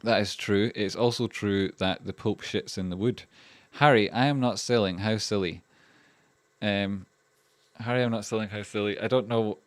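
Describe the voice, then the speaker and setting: Low-voiced Scottish man, rambling stream chat. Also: steady tone, normal speed, dry recording. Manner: rambling and chatty, many fillers and restarts, swears freely. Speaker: livestream host. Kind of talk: casual livestream chat reading donations.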